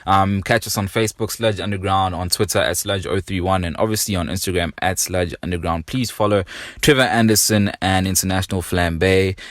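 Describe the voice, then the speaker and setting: A man's voice: low-pitched, fairly even intonation, a little fast, dry recording, studio quality. A South African man hosting a podcast in a casual, slangy, enthusiastic and appreciative way. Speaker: podcast host. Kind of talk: casual chat.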